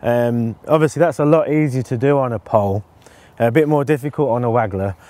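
Man in his forties, relaxed explainer, lots of ums, outdoor canal bank, lapel mic, medium pitch. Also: fairly quick, dry recording. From England. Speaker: angling presenter. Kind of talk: casual instructional talk to camera.

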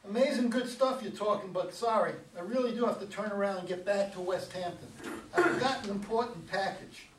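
A man's voice: high-pitched, fairly quick, close to an everyday voice. An older man playing a wary, regretful grandfather in a staged, dramatic script reading. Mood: wary, irritated. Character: wary, regretful father and grandfather, a lonely driver. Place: meeting room with long table, indoors. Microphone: far camera. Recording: roomy, distant mic, background room noise.

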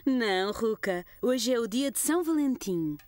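A woman's voice: fairly high pitch, animated, moderate pace, a little mellow, no room sound, studio quality, natural voice. An actress with a European Portuguese accent voicing a loving young mother in a children's cartoon.

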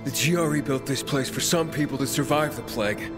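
An actor playing an earnest, determined young survivor hero in a video game, plain and urgent. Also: male voice, mid-range voice, a little fast, natural voice. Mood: puzzled. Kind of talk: cinematic video game acting. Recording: loud game music behind.

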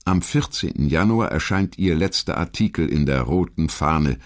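A man reading a scripted historical documentary narration in a measured, dramatic way. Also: a bass voice, lively intonation.

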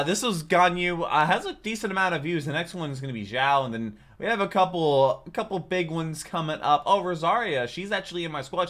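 A man's voice: fairly high pitch, fairly bright tone. A man, giving chatty, informal reaction commentary. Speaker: reaction video host. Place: small home room, indoors. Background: faint music.

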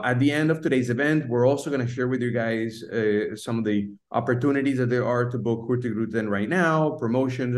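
A man's voice: medium pitch, darkish tone. A man in his forties hosting a webinar on a video call from a room at home, talking casually with many fillers and restarts. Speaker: webinar host. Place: home room with shelves and plants. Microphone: laptop webcam video call.

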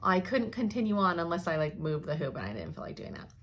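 A young woman giving a chatty cross-stitch update from her home craft room, her voice slightly hoarse, laughing often as she thinks aloud. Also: lowish voice, very animated, fast talker. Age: young adult. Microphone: camera in front at desk.

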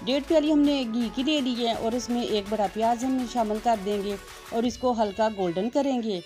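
A woman's voice: on the high side, moderate pace, mellow, soft background music. A woman, hosting a cooking channel and narrating each step politely in a voice-over. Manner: step-by-step, polite, religious greetings.

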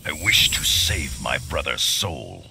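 An actor playing a stern, honourable ice ninja warrior in a video game, grave and deliberate, with a gravelly voice. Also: male voice, a bass voice, big pitch swings, exaggerated voice, low-quality recording. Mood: resolute. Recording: game audio with loud background noise.

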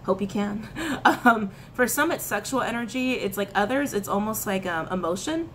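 A woman's voice: medium pitch, moderate pace, slight background noise. A woman in her thirties, giving a casual tarot reading to camera in a small room, talking with lots of gestures and repeated phrases. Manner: chatty, animated hand gestures, frequent laughs and repetitions. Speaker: tarot reader. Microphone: camera in front, head and shoulders framing.

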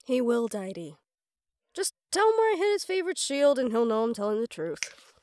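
A woman's voice: fairly high pitch, sing-song, moderate pace, a little mellow, no echo. A woman playing a stubborn, sarcastic young goddess in an audio roleplay drama, teasing and defiant. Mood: firm, teasing.

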